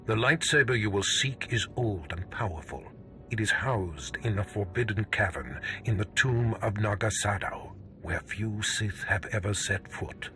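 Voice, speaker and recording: An actor playing a stern, scheming Sith lord in a video game, speaking in a measured, imperious, menacing manner. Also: male voice, lowish voice, pushed and bright, soft background music. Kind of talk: scripted video game drama.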